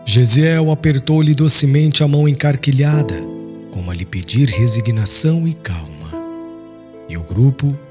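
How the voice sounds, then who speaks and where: A man's voice: mid-range voice, moderate pace. Solemn Brazilian male audiobook narrator. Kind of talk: solemn scripted literary narration. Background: soft music.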